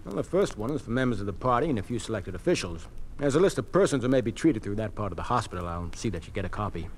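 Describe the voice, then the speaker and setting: An actor playing a smug, insolent young medical intern in an old radio drama, glib and brash. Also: male voice, fairly low pitch, expressive, fast, poor audio. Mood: brisk. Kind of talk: 1950s radio drama acting. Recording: old 1950s radio recording.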